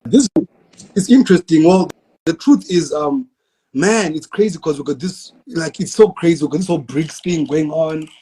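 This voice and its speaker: A man's voice: a little high, moderate pace, warm tone, breathy edge, low-quality recording. A man from South Africa with a South African accent, a guest on a podcast, chatting loosely in laid-back slang with frequent restarts. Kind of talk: casual chat.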